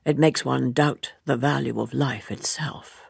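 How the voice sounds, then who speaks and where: Woman doing old formal writer gent from a storybook, wounded and solemn, low creaky voice. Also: fairly even intonation, dry recording, studio quality, exaggerated voice. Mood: wounded, grave. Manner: formal, weighty, halting. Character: grave, wounded, formal older gentleman writer.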